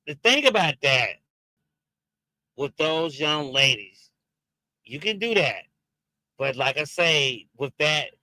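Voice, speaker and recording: A man with a Jamaican and Haitian accent chats to his viewers in an unhurried, halting way, full of ums and restarts. His voice is very bright and forward. The recording is dry, with no room sound. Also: medium pitch, no echo, poor audio. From Jamaican and Haitian background. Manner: hesitant, many fillers and restarts, talks to viewers. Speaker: wrestling review show host. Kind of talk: casual streamed commentary.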